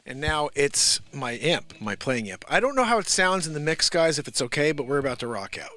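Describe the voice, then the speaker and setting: A man's voice: on the high side, big pitch swings, a little fast, sharp tone, dry recording. An American man, a musician hosting a livestream, chatting to viewers in an informal, rambling, slangy way. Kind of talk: casual livestream chat to viewers.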